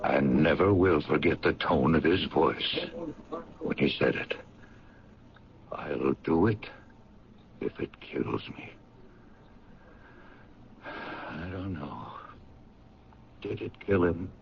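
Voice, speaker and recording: An actor playing a skeptical, old-fashioned company owner with an American accent in a radio drama, dry and conversational. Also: male voice, a bass voice, lively intonation, slow, gravelly, pauses often, full character voice. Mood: musing, reflective. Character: plain-spoken, skeptical old-fashioned paint company owner, middle-aged. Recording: muffled, noisy vintage radio broadcast.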